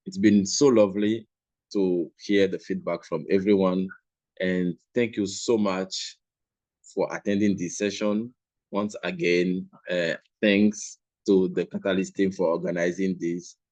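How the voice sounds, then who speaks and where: A man with a low voice hosts a session at a slow, measured pace. He speaks in courteous, formal phrases as he thanks and addresses participants. The recording is dry, with little room sound. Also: steady tone, darkish tone, dry recording. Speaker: session host. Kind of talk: formal closing remarks and thanks.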